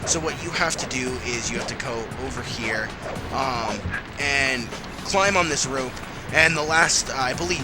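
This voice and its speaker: A man with a nasal, under-the-weather voice hosting a casual gameplay commentary, rambling with lots of fillers. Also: medium pitch. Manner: rambling with many fillers and restarts. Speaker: let's play host. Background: game music.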